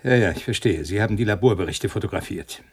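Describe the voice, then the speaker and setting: An actor playing an uneasy, irritable scientist in a German radio thriller, speaking in a hesitant, agitated way. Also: male voice, on the low side, fast, husky. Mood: irritated, uneasy. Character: uneasy, irritable male scientist living alone with budgie.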